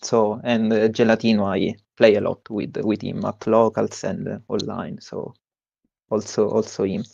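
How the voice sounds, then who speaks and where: A young man with an Italian accent, a tournament winner giving a halting interview with many fillers and restarts. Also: lowish voice, little pitch movement, vocal fry, a little mellow, breathy edge, dry recording, dull audio. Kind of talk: post-win stream interview.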